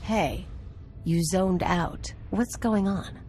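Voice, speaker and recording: An actress playing a loyal companion agent in a video game, speaking casually and frankly. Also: female voice, fairly low pitch, extremely slow, mellow, slight background noise. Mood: concerned.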